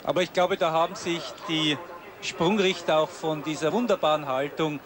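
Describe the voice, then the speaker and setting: A man's voice: a little high, moderate pace. A man giving live ski flying commentary on television. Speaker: sports commentator. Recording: noisy outdoor sports broadcast, crowd noise.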